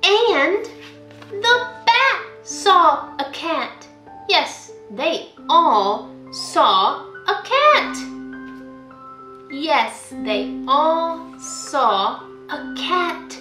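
A young woman, a school librarian, reading a picture book aloud to children in an expressive, sing-song way. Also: high-pitched, very slow, echoey room, soft background music. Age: young adult. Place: indoor room at home, by a window.

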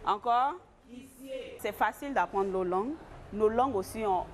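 A woman's voice: medium pitch, normal speed, a little hiss or hum. Woman teaching a language class.

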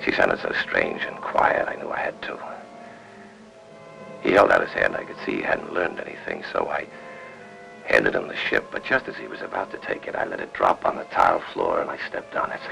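A jealous, brooding husband in an old film melodrama. His voice is very low and thick with gravel, slow and hushed to near a whisper. Music plays behind him on the old soundtrack. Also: huge pitch swings, exaggerated voice, rough recording. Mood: brooding, menacing. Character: bedridden, brooding, menacingly possessive jealous husband. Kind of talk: 1950s film melodrama acting.

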